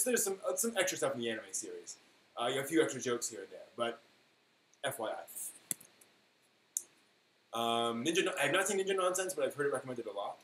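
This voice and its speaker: Man chatting casually in an echoey room. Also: fairly high pitch. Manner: halting, thinking aloud, emphatic on key words. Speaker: live stream host. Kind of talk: casual rambling chat, answering viewers. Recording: echoey room with faint noise.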